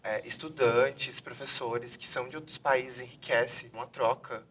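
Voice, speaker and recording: A man's voice: medium pitch, fairly even intonation, a little slow, a bit bright, far from the mic, a little hiss or hum. A man hosting a university videocast from a theater, reading formal introductions. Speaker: host.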